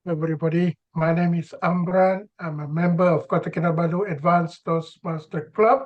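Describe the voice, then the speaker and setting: Brief: formal club member from Malaysia, slightly high voice, lo-fi call audio. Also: male voice, steady tone, moderate pace. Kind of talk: self-introduction. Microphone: video call.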